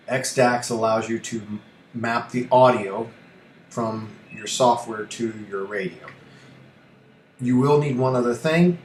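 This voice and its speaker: A man hosting a casual live-stream tutorial, rambling and thinking aloud in a self-deprecating way. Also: mid-range voice. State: allergy coughing and throat-clearing. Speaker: livestream host and ham radio operator. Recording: roomy echo, faint noise.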